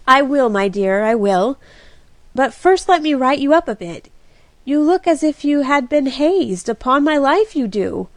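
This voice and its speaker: A woman's voice: high-pitched, expressive, unhurried, no room sound, voice pushed up. A woman voicing a kind young man who soothes and teases lightly, in close to her own voice. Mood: soothing.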